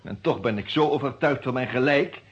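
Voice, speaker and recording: A man's voice: mid-range voice, a little fast, husky. An actor playing a proud, sardonic lawyer under suspicion in a Dutch detective radio play, a man given to mock-formal challenges. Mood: sardonic, stubborn. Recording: dull lo-fi old radio recording.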